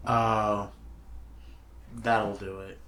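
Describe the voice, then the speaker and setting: A woman's voice: rumbling low, little pitch movement, very slow, a bit bright, slight background noise. A woman co-hosting a podcast, chatting casually and sometimes searching for words. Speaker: podcast co-host. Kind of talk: casual chat.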